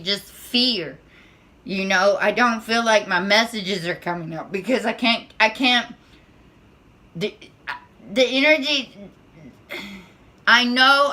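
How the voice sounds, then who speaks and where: A woman with a squeaky, bright, sharp-edged voice gives an unscripted spiritual talk to camera. She talks nervously and haltingly, often restarting and repeating words. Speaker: spiritual tarot vlogger.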